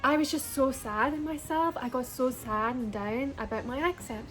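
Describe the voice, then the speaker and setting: High-voiced soft Scottish woman, chatty. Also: normal speed, faint music behind, rough recording. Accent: soft Scottish, partly Americanized. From Scotland. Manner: chatty, frequent likes and ums, laughs between words. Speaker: vlogger. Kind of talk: casual personal storytime.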